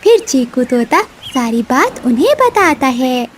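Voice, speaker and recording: A woman's voice: high-pitched, big pitch swings, a little slow, noisy background. A woman narrating a scripted children's cartoon story.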